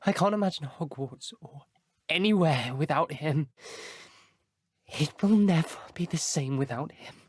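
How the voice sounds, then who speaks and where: An actor voicing an earnest, troubled teenage boy wizard in a video game, wary of dark magic, speaking in a measured, grave and articulate way. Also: fairly high pitch, expressive, no room sound, studio quality. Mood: somber, grieving. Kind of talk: video game character dialogue.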